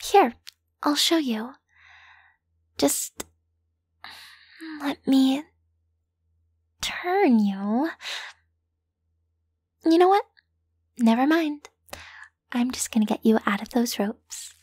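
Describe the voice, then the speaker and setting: A woman voicing a lovesick, possessive yandere kidnapper in an intimate roleplay audio, doting and coaxing in a breathy voice that often sinks into whispers. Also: sits high, expressive, a little mellow, no echo, heavily acted. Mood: gentle, confiding. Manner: doting, coaxing, slow and lingering.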